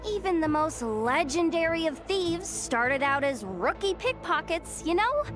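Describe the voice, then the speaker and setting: Game role: young female treasure hunter, high voice, cocky, theatrical, playfully evasive; music behind. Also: sing-song, unhurried, exaggerated voice. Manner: breezy, boastful, theatrical. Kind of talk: video game character acting.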